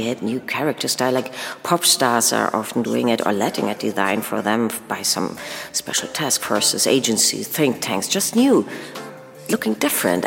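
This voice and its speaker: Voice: mid-range voice, vocal fry, fairly bright tone. A performer playing an anxious, searching actor in an insistent, questioning spoken-word monologue, full of repetition. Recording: long reverberant hall.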